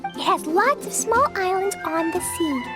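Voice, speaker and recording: Tiny, very high-voiced little girl. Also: expressive, unhurried, music in the background. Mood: eager, pleading. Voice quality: small childlike voice. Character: very young little girl, sweet, earnest and easily upset. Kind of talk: video game character acting.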